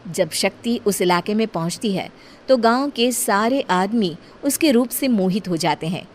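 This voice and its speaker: A woman narrating a cartoon horror story in a steady, plain storytelling manner. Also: medium pitch, measured pace, slight background noise.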